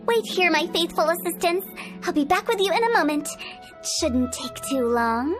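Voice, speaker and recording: A woman voicing a mischievous young female funeral parlor director in a game, playful and teasing, with spooky jokes and a salesy pitch. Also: big pitch swings, moderate pace. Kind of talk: video game voice acting. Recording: game audio with faint music. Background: faint game music.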